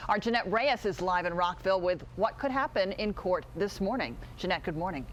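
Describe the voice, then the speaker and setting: A woman anchoring a morning TV newscast, reading headlines and introducing colleagues in a brisk, bright manner. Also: mid-range voice, animated, dull audio, a little hiss or hum. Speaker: news anchor. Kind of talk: scripted news reading.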